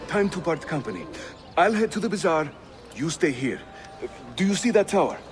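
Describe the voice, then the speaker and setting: An actor with a Turkish accent playing an affable, cocky assassin leader in a video game. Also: male voice, a little high, very animated, normal speed, over music. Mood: urgent, brisk. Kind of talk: video game dramatic acting. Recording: game audio with clear background noise.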